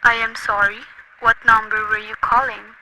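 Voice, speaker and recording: A woman's voice: fairly high pitch, level tone, moderate pace, background noise, lightly acted. A woman playing a prim telephone operator. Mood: formal. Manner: prim, formal, clipped.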